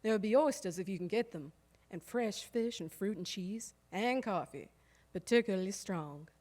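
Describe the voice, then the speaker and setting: A woman's voice: mid-range voice, moderate pace, a little mellow, lightly acted. A woman playing a harried, proud old-time household woman, telling a wry, emphatic theatrical monologue that lingers over its lists. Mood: relishing. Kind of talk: theatrical storytelling monologue on stage. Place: live stage performance in a recreation hall.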